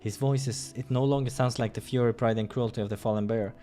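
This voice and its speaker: A man hosts a visual novel playthrough. He reads the narration aloud and drops into casual asides, sometimes stumbling over the text. His pitch sits in the middle and music plays behind him. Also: steady tone, fast talker, warm tone.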